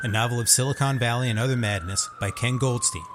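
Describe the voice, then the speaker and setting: A man's voice: medium pitch, fast talker, very noisy. A man reading a scripted promotional announcement as an announcer.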